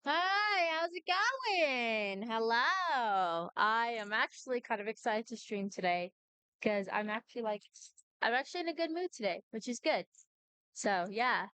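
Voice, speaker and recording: A woman's voice: a little high, lively intonation, measured pace, pushed and bright, clean tone, dry recording. A young adult woman chatting casually and informally as she livestreams a game, rambling from thought to thought. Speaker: game streamer. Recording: game music behind voice. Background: video game music.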